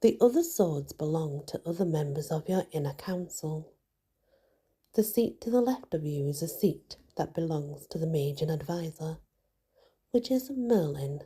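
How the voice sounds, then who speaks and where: A woman softly whispering a scripted guided meditation in a hushed, soothing ASMR style, with many pauses. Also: deep voice, expressive. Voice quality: soft, breathy, mostly whispered.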